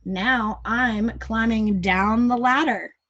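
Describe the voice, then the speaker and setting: A woman's voice: on the high side, slow pace, fairly bright tone. A woman voicing a silly, childlike male puppet friend in a storybook, playful and teasing. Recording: dull lo-fi home recording.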